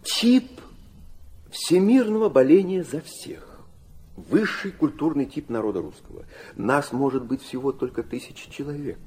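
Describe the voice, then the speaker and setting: An actor playing an anguished, idealistic middle-aged nobleman in a radio drama, confiding and philosophizing in a theatrical, halting manner. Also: mid-range voice, expressive, darkish tone, lightly acted. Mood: fervent, solemn.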